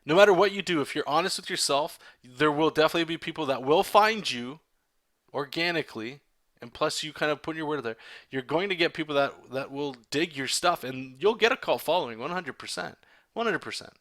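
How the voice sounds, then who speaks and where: A man's voice: on the high side, lively intonation, brisk, fairly bright tone, no room sound, clean studio sound. A man with a Canadian accent, chatting candidly with his livestream viewers while inking a comic, rambling and restarting his sentences. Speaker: artist streaming a drawing session.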